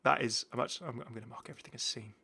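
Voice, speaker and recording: A man's voice: medium pitch, racing, vocal fry, pushed and bright, husky. A man hosting a gaming channel, giving casual, chatty and enthusiastic commentary as he shows off game content. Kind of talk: casual gameplay showcase commentary.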